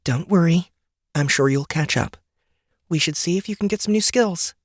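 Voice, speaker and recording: A woman's voice: lowish voice, normal speed, no echo, clean studio sound, close to an everyday voice. An actress playing a sly, upbeat wife and mother in a fantasy audiobook, with a playful delivery. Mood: pleased, teasing. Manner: playful, animated. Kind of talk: dramatic audiobook character dialogue.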